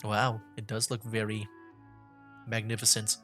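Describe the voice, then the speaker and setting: A man's voice: fairly low pitch, monotone, unhurried, pushed and bright, faint music behind. A man giving casual, amused commentary while streaming a video game. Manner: chatty, amused.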